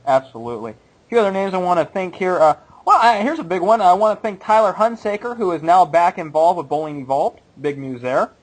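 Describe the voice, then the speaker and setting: A man in his late twenties co-hosting a podcast with a fairly high voice. He chats casually, laughs easily and plays at being cynical. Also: lively intonation, fairly quick, poor audio. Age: late 20s. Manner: laughs often, playfully cynical. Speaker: podcast co-host. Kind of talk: casual chat.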